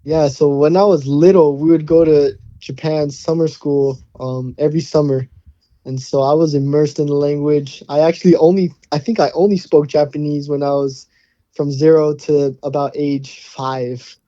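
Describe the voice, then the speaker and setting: A young man from Hawaii talks easily as a podcast guest, circling back with fillers and restarts. His voice is very dark and mellow and quite flat in pitch. The sound is dry and a little dull. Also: normal speed, dry recording. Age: young adult. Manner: easygoing, many fillers and restarts. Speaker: guest, college football player. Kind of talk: casual interview answers.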